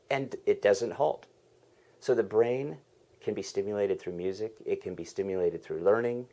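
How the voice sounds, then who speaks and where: A man's voice: low voice, warm tone. A man hosting a podcast, earnestly reading a scripted introduction. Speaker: podcast host.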